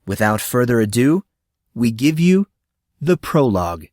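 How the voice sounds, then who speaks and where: A man's voice: medium pitch, very animated, unhurried. A man reading a fantasy novel aloud as narrator, in a friendly storytelling manner. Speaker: audiobook narrator.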